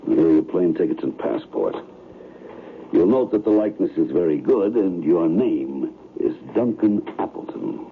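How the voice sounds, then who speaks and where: A cool, businesslike man arranging a killing in a vintage radio thriller. His low voice is formal and controlled, on a tinny recording with faint noise. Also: big pitch swings, moderate pace. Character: businesslike, unflappable man who hires an assassin. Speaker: actor in radio drama. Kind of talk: old-time radio drama acting.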